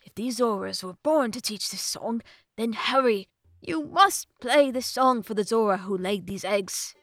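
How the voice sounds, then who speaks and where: A woman's voice: a little high, animated, unhurried, a bit bright, no room sound, studio quality. A woman voicing an old male professor, eager, inquisitive and theatrical, in a storybook narration. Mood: delighted. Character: excitable, curious old male professor and researcher.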